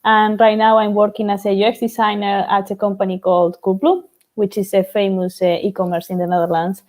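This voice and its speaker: A woman, introducing herself from her living room on a video panel, brisk with frequent "uh"s. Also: medium pitch, level tone, darkish tone. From Argentina and Spain. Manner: brisk, with filler "uh"s. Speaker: panelist, UX designer. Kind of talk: casual self-introduction.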